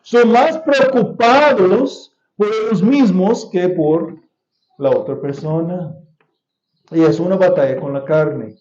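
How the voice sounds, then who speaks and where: Pastor preaching in Spanish, echoey church. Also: male voice, high-pitched, darkish tone. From United States. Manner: deliberate preaching with repeated key phrases and occasional restarts. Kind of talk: sermon. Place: church sanctuary. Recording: echoey church sound, slightly dull.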